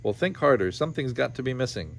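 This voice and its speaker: A man voicing an excitable, old-fashioned alchemist father in a storybook. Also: medium pitch, quick pace, vocal fry, slight background noise. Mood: troubled.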